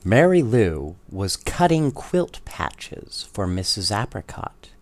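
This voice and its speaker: A man's voice: lowish voice, big pitch swings, slow. A man reading children's bedtime stories aloud, with casual asides to his listeners. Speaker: bedtime story reader.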